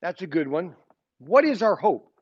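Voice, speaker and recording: A man's voice: high-pitched, sing-song, moderate pace, husky, muffled recording. A man in his sixties teaching an informal Sunday school Bible lesson, rambling and often checking in with his listeners. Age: 60s. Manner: rambling, keeps checking in with "okay?".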